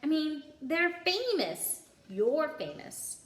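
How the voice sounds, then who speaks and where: A woman voicing a gruff, quick-tempered giant groundskeeper in a read-aloud storybook, barking and growling his indignant lines. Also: sits high, big pitch swings, voice pushed up, exaggerated voice. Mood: exasperated, intense. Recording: roomy indoor echo.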